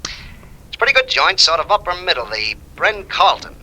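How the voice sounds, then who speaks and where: An actor playing a slangy, shifty, money-minded private detective in a 1950s radio crime drama. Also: male voice, high-pitched, sing-song, normal speed, bright, forceful tone, slight background noise, exaggerated voice, rough recording. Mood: sly, brisk. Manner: wisecracking, slangy tough-guy talk. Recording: old radio recording.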